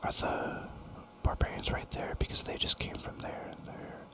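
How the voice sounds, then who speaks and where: Whispering man musing over a strategy game. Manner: rambling, musing, toys with repeated words; soft and unhurried, thinks aloud. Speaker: gaming channel host. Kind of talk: whispered ASMR gameplay commentary.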